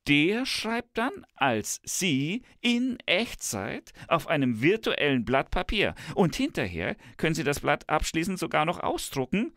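A man plays a tech-loving professor in a satirical two-hander, teasing and grand. His pitch moves a lot as he stretches words for theatrical effect. The sound is close and dry, with little room in it. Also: sing-song, a bit bright, dry recording, heavily acted. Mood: smug, visionary. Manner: pompous, theatrical, drawn-out emphasis. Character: smug, tech-enthused male professor, grandly visionary and teasing. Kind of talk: scripted satirical dialogue.

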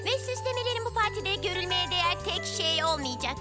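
Very high-pitched, vain cartoon princess. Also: female voice, lively intonation, brisk, over loud music. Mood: smug. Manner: theatrical, self-important. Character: vain, bossy, scheming young princess show-off. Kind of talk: Turkish children's cartoon dub.

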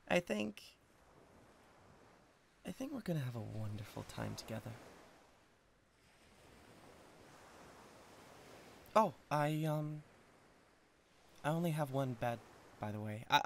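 A man's voice: fairly high pitch, wildly swooping pitch, a little slow, creaky, pauses often, a bit bright, slight background noise, heavily acted. A man voicing a lovestruck, awkward devil king of Hell in an intimate ASMR roleplay, hesitant and stammering. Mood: flustered. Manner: hesitant, stammering, swinging from tender to giddy. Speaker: audio roleplay voice actor.

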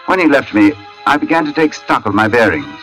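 An actor playing a brooding, polite gentleman narrator in a 1940s radio drama, measured, literary and intense. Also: medium pitch, sing-song, rough recording. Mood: haunted, brooding. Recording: old radio broadcast with music.

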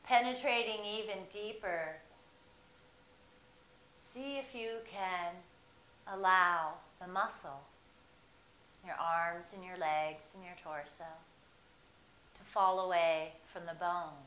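A woman guiding a relaxation meditation, speaking in slow, soothing, drawn-out phrases with long pauses. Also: on the low side, fairly even intonation, a bit bright. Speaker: meditation guide. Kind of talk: guided meditation. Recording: echoey room with faint hiss.

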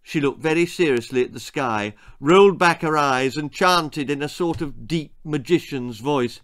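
A man in his sixties with an English accent, narrating a children's story in a theatrical, expressive manner. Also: on the high side, normal speed. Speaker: author reading own children's story. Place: small book-lined room indoors.

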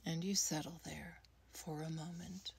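A woman leading a guided meditation in a soft, breathy, soothing voice, speaking in short phrases with long, unhurried pauses. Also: sits low, fairly even intonation. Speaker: guided meditation leader, creativity coach. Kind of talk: guided meditation, gentle scripted narration.

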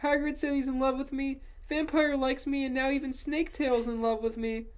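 A woman's voice: high-pitched, little pitch movement, brisk, voice pushed up, lightly acted. A woman voicing a vain, angsty gothic teenage girl in a whiny, overwrought way for a mock fan fiction reading. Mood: vain, exasperated.

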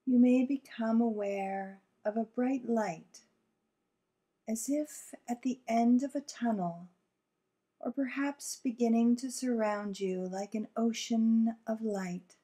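Female guide for visualization meditation. Relaxed, warm timbre, very measured delivery, gentle and calming. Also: a little high. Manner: soothing, gentle, deliberate with long pauses.

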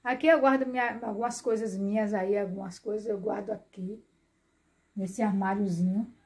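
A woman's voice: medium pitch, steady tone, normal speed, darkish tone. A middle-aged woman chatting casually to her viewers while filming herself at home, repeating words and addressing the audience often. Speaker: vlogger. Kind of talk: casual vlog chat to viewers. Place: small home bedroom and kitchen, indoors. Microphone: phone held at arm's length.

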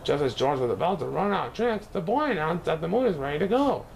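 Guy reading a kids storybook, highish voice, really up and down, noisy background. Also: fast talker, vocal fry. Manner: expressive, stumbling now and then over words. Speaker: children's book reader. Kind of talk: animated picture-book read-aloud.

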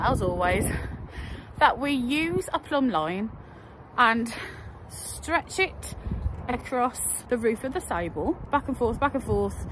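Woman, 40s, high voice, chatty step-by-step vlog narration, outdoor phone mic with loud wind. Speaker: vlogger. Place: outdoors in a windy field by a stable. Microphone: phone at arm's length.

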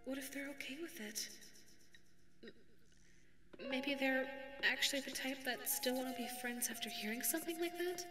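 A woman's voice: high voice, normal speed, long pauses, lightly acted. A woman voicing a sweet, anxious female dog secretary with a crush, speaking hesitantly in an ASMR roleplay. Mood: hesitant wondering. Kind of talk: ASMR audio roleplay monologue. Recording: echoey reverberant sound with background music. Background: music.

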